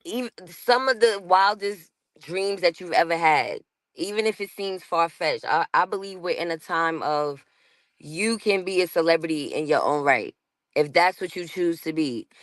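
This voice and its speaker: A woman's voice: fairly low pitch, normal speed, dry recording. Chatty New York woman, dry sound. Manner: chatty, laughs often, self-interrupting. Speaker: panel guest. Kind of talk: casual panel talk.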